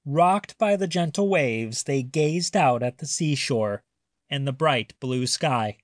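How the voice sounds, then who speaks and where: A man reading a children's picture book aloud as a storyteller, with expressive, deliberate narration. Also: mid-range voice, no echo, clean studio sound.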